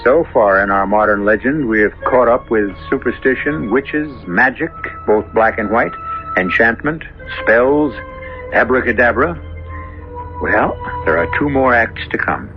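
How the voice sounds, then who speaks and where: A man hosting and narrating a 1970s radio mystery drama in a measured, dramatic manner, on a muffled old broadcast. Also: mid-range voice, expressive. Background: loud dramatic music.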